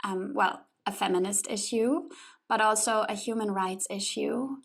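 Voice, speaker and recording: A woman hosts a podcast conversation in an informal, engaged manner. Her mid-pitched voice has a clearly creaky, fry-laden texture, and she speaks as if chatting casually with her guest. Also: fairly even intonation, a little slow. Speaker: podcast host.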